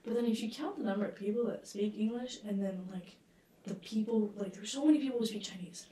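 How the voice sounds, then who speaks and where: A woman's voice: mid-range voice, fast talker, warm tone. A young woman from France, a guest chatting animatedly, laughing often and searching for words as she goes. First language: French. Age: young adult. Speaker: guest, engineering student. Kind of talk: casual conversational interview. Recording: echoey room, distant from the mic.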